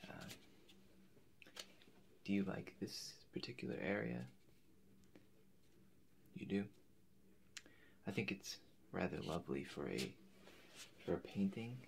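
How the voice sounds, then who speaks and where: A man in his twenties in a soft-spoken ASMR roleplay as an eccentric, polite painter, halting and slipping into whispers. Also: sits low, very animated, gravelly, slight background noise. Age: 20s. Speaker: ASMR performer. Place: indoors in front of a forest backdrop.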